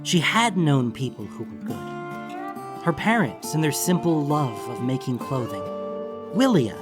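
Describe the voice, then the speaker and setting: A man narrating a scripted fantasy story as an audiobook. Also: medium pitch.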